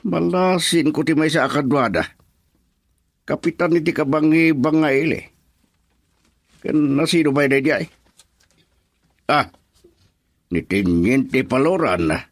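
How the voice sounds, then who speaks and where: A man's voice: a little high, unhurried, long pauses. An actor with an Ilocano accent playing a stern, proud middle-aged father in a radio drama. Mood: measured, serious.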